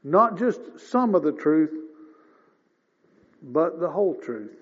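A man in his fifties preaching a sermon in a folksy, conversational way, often clearing his throat. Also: a little high, very animated, takes it slowly. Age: 50s. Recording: dull lo-fi sound with long reverb.

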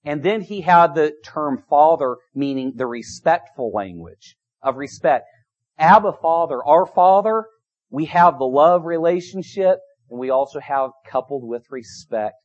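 A man's voice: a little high, animated, measured pace, darkish tone. A middle-aged man preaching a sermon, emphatic and repetitive, his volume rising and falling. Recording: dull lo-fi sound.

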